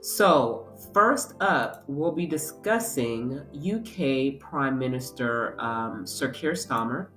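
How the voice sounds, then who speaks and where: A woman's voice: extremely low pitch, lively intonation, slow pace, hardly any pauses, fairly bright tone, roomy sound, soft background music. A woman with a cold and a congested voice, hosting a commentary vlog with casual, um-filled talk. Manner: chatty, with frequent ums. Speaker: vlog host.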